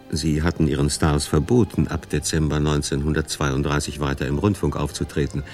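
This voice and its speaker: A man's voice: extremely low pitch, a little mellow. A man narrating a scripted radio documentary as its main narrator.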